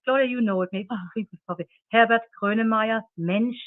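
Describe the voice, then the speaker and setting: A woman's voice: a little high, no room sound. A woman whose first language is German, a guest singer chatting in an interview and often restarting her sentences. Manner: halting, many restarts. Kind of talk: casual interview chat. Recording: tinny phone-like sound.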